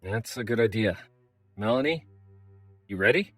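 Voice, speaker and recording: A man's voice: fairly low pitch, moderate pace, no echo, soft background music. A man playing a big, easygoing robot adventurer with a casual, protective manner in an audio drama. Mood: friendly. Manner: casual, calm, conversational.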